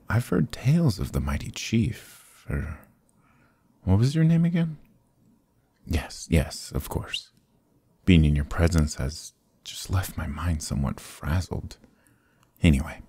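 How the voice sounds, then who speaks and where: A man playing a sly, charming adventurer thief in a fantasy ASMR roleplay, smooth-talking, courtly and evasive, with theatrical hesitations. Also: very deep voice, sing-song, a little slow, dark tone, no echo, full character voice. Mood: flattering, wheedling. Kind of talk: fantasy ASMR roleplay acting, close and soft.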